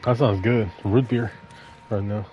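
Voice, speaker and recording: A man's voice: on the low side, steady tone, measured pace, highs cut off. Casual male gamer over game music. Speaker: gamer, streamer. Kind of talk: casual gameplay commentary.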